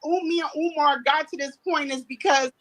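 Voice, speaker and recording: A woman's voice: high voice, steady tone, brisk, fairly bright tone. Blunt defensive woman in her forties. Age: 40s. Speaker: interviewee in a played clip. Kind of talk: blunt personal account.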